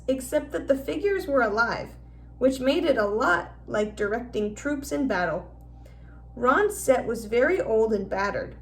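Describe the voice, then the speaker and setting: A woman's voice: high voice, distant mic. A woman narrating a children's novel aloud as a teacher would in a storybook read-aloud.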